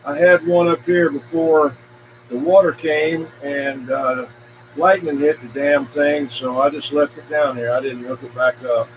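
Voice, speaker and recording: A man's voice: fairly high pitch, steady tone, a little slow, low-quality recording. A man chatting casually as host of a CB radio show, folksy and full of radio lingo. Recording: background noise.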